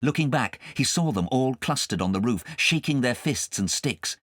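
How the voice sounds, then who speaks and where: A man narrating a storybook as a scripted audiobook, with dramatic, expressive storytelling. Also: mid-range voice, moderate pace, no echo, studio quality.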